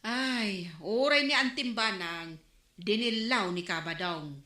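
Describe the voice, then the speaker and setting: A woman's voice: medium pitch, slow pace, pushed and bright, reverberant. A woman acting a talkative neighborhood store owner who gossips about neighbors, in a radio drama. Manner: chatty, gossiping.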